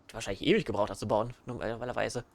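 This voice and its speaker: A man's voice: fairly low pitch, steady tone, racing, vocal fry. A man giving casual let's play commentary as host.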